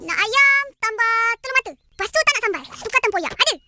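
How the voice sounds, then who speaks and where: A hapless cartoon schoolboy with a Malaysian accent. The voice is extremely high and quick, over loud music. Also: female voice, animated. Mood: eager. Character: hapless young schoolboy cartoon character, flustered.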